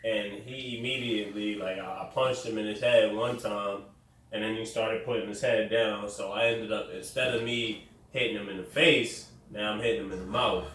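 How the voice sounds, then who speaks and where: A man's voice: on the low side, steady tone, normal speed, fairly bright tone. A young man hosting a podcast, telling a story in a blunt, casual way with swearing and restarts. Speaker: podcast host. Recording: very echoey room, distant mic, faint noise.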